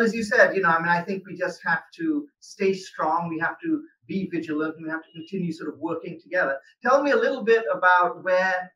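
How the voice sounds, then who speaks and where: A man's voice: sits high, pushed and bright, far from the mic, dull audio. A man hosting an interview as a newspaper editor, talking conversationally with many hedges and fillers.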